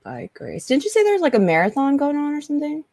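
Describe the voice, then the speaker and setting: Lively woman in a dry casual chat. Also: high voice, fast talker, dry recording. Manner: easygoing and friendly, with short reactions.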